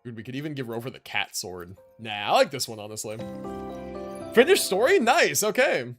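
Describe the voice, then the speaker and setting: Male livestream host, animated pitch, casual gamer slang and swearing, faint music underneath. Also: moderate pace. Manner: laid-back slangy enthusiasm, swears casually. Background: video game music and character voices.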